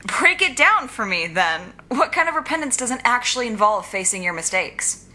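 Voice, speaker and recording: A woman's voice: a little high, sing-song, moderate pace, sharp tone, heavily acted. A woman playing a wry, defiant young genius supervillain in an audio drama, with dry retorts. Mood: challenging.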